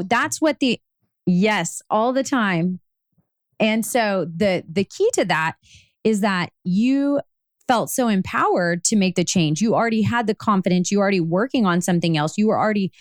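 A woman podcast host who affirms her guest and then probes with follow-up questions. Her voice sits at a middle pitch and is recorded dry and close, with little room sound. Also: expressive, moderate pace, dry recording. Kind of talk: interview hosting.

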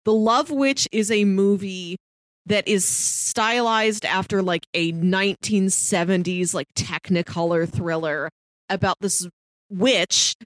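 A woman co-host in casual discussion, recorded dry with very little room sound. She speaks at a middle pitch for a woman and describes things thoughtfully, piece by piece. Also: slow, no room sound. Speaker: film podcast co-host.

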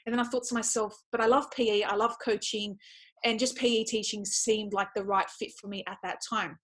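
A woman's voice: mid-range voice, fairly even intonation, fairly quick, low-quality recording. A woman with an Australian accent, talking as a podcast guest in an enthusiastic, chatty and casual way. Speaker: podcast guest, speaker and former PE teacher. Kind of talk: casual conversational interview.

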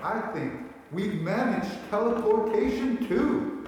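Man playing high-voiced earnest researcher, very echoey. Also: expressive, darkish tone. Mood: earnest, urgent. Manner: earnest, dramatic, deliberate. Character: Norman, earnest excitable male researcher, awed and insistent. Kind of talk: 1940s radio play acting. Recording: very echoey hall.

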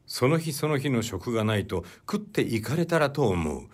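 A man reading a literary short story aloud as a narrator, in a measured storytelling delivery. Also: mid-range voice, very animated, husky.

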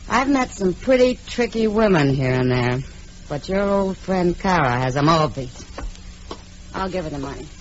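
A woman's voice: on the low side, expressive, normal speed, natural voice. A woman playing a frank, wary, worldly American frontier saloon woman, speaking directly in a radio Western. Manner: direct, plain-spoken. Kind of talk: old-time radio Western acting. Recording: muffled, faintly noisy vintage radio recording.

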